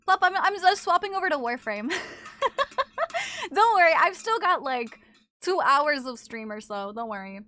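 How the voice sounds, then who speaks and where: A woman streamer chatting casually with her viewers in an animated, teasing way. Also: high voice, unhurried, long pauses. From not from Japan. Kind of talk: casual live-stream chat.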